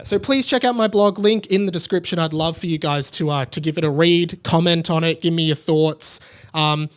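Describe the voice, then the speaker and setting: A man with an Australian accent hosting a podcast, chatting casually and informally in an emphatic, rambling way. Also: fairly high pitch. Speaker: podcast host. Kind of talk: casual solo podcast chat.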